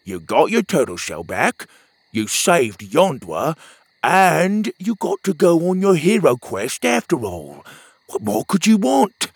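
A man's voice: fairly high pitch, wildly swooping pitch, slow pace, husky, no room sound, voice pushed up, exaggerated voice. A man doing a cheery lad who lisps his r's. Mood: teasing. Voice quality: turns r into w. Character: cheerful young male friend with an r-to-w lisp. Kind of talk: storybook character voice.

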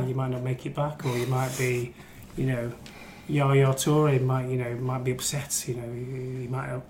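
A man's voice: medium pitch, a little mellow. A man chatting casually about football as a podcast guest, teasing and rambling, often repeating himself. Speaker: crime reporter and podcast guest. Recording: echoey room, faint background noise.

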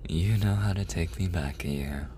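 A man acting a tender, lovestruck boss in an intimate boyfriend roleplay, speaking softly and hesitantly with a husky, breathy voice. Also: low-pitched, slight background noise, natural voice. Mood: weary, gentle. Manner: soft, hesitant, slow with long pauses. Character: tender, lovestruck male boss confessing to an employee. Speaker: audio roleplay performer.

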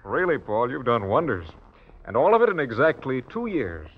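An actor playing a friendly, concerned business colleague in the manner of 1940s radio drama. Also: male voice, mid-range voice, huge pitch swings, normal speed, husky, heavily acted. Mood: hearty, encouraging. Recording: dull vintage radio recording.

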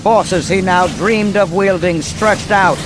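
An actor playing a suspenseful narrator, giving dramatic scripted narration for an old-time radio mystery. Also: male voice, high-pitched, steady tone, slow, noisy background. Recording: dramatic music behind.

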